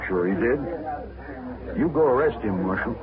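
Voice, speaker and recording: A man plays a stubborn, hotheaded frontier rancher with blunt, rough grammar in a vintage radio western. The sound is thin and tinny, with a chattering saloon crowd behind him. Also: mid-range voice, lively intonation, normal speed, natural voice. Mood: surly. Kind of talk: 1950s radio drama acting. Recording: tinny old radio broadcast in a noisy saloon.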